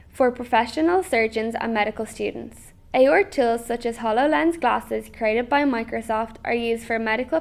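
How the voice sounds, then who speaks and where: Higher-pitched woman reading educational narration, creaky edges. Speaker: presenter.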